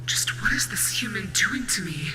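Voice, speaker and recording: A woman voicing a menacing nightmare rabbit demon who is unsettled and paranoid, speaking haltingly in an audio roleplay. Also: medium pitch, lively intonation, pushed and bright, in a whisper, faint music behind. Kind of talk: scripted audio roleplay acting.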